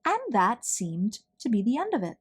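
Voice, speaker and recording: A woman reading a picture book aloud to children, telling the story in a deliberate, dramatic way with emphasized words. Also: medium pitch, big pitch swings, breathy edge.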